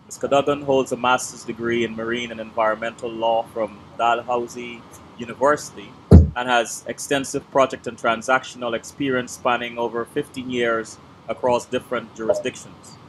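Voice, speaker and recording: A man hosts a formal interview in a measured, even voice that sits at a middle pitch. Behind him is a steady hum of air conditioning. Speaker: talk show host. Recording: noisy background hum.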